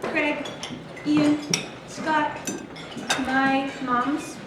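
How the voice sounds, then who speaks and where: A woman's voice: high voice, flat delivery, drawn-out words. A woman making an earnest, heartfelt appeal to a club meeting. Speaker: club member. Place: banquet room with dishes clinking. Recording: echoey room from far off, loud noise.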